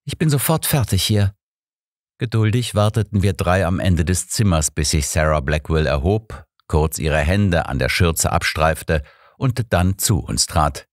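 Deep-voiced man narrating a mystery audiobook. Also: a little slow, hardly any pauses, dry recording, studio quality. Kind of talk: scripted first-person mystery narration.

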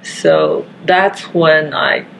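A woman from Taiwan, speaking haltingly and earnestly as a guest in a casual living-room discussion. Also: low-pitched, fairly even intonation, a bit bright, slight background noise, rough recording. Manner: slow, halting, earnest, frequent fillers. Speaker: podcast guest.

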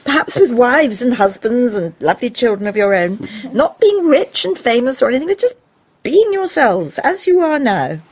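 A woman's voice: fairly high pitch, very animated, normal speed, darkish tone. An actress playing a proud, fond, domineering middle-aged mother in a period radio play, with a grand, fussing manner. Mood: fond, wistful.

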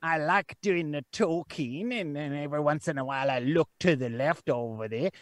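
A man voicing an eccentric, rambling female author in a live comedy stream, with a muddled German-American hybrid accent and a halting, repetitive delivery. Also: on the high side, no room sound, studio quality, close to an everyday voice. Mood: rambling, chatty. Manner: halting, rambling, repeats himself.

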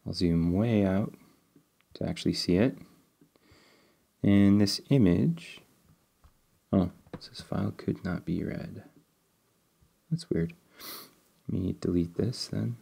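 Deep-voiced, mellow, slightly sniffly male tutorial host; easygoing, explains as he clicks. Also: slow, creaky, long pauses, breathy edge. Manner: relaxed, step-by-step, thinking aloud with fillers. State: sniffly, getting over a cold. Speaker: game development tutorial host. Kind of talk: screen-recorded coding tutorial.

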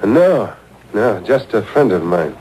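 A man's voice: on the low side, very animated, slow, mellow, a little hiss or hum, low-quality recording. An actor playing a crooked, domineering businessman with a slick manner in old-time radio drama style, on a home cassette recording. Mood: slick, persuasive. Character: scheming, blustering crooked businessman pushing a bus franchise.

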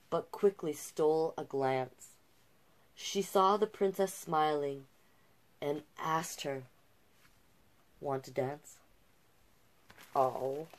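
A middle-aged woman reading a children's picture book aloud with expressive delivery and casual asides. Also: deep voice. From Canada. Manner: unhurried, expressive storybook delivery. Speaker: storytime host and drag king. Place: small cluttered room indoors.

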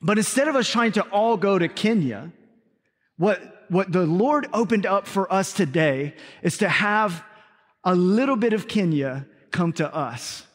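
A man preaching to his congregation as pastor, energetic, with call-and-response asides, then interviewing a guest on stage. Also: high-pitched, measured pace. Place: large church sanctuary. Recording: reverberant church hall.

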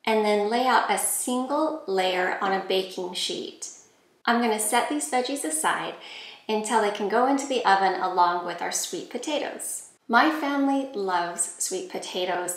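A woman in her forties calmly walking through cooking steps in a home kitchen, talking to a camera set a few steps back. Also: medium pitch, echoey room. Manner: calm, friendly step-by-step instructions. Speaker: cooking vlogger. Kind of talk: cooking tutorial voice-over and talk to camera.

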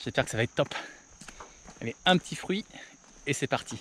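A man's voice: mid-range voice, animated, brisk, a little hiss or hum. A man in his forties talking upbeat to a camera held at arm's length while hiking a jungle mountain trail. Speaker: travel vlogger. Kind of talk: selfie-style travel vlog.

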